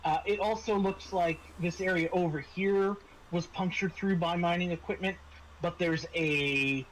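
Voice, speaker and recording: Guy game mastering a tabletop rpg, kinda high voice, feels out his descriptions, bit of noise. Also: steady tone, unhurried, fairly bright tone, low-quality recording. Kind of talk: narrating a tabletop game, improvised.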